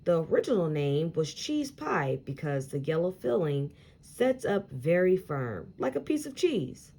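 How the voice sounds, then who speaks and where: A friendly history-buff uncle from a picture book, read by a woman. He explains things in a reassuring, informative way, taking his time and varying his pitch freely. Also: sing-song, unhurried, gravelly, mellow, full character voice. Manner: informative, reassuring storybook dialogue.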